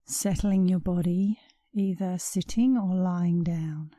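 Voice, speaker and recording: A woman meditation instructor guiding a relaxation session in a soothing, unhurried way, leaving long pauses between short phrases. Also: on the low side, fairly even intonation, mellow, dry recording. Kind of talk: guided meditation, scripted narration.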